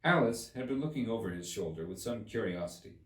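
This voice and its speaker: A man reading a children's storybook aloud as a narrator, in an expressive scripted style. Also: on the low side, fairly quick. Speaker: audiobook narrator. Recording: roomy, slightly distant microphone.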